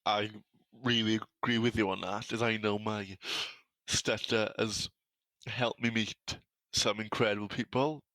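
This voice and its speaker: Young welsh guy who stammers a bit, bright voice, mid pitch, dry close sound. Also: fairly even intonation, a little slow, no echo, rough recording. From South Wales. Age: young adult. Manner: stammers on some words, earnest and reflective. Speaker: guest. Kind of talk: podcast interview.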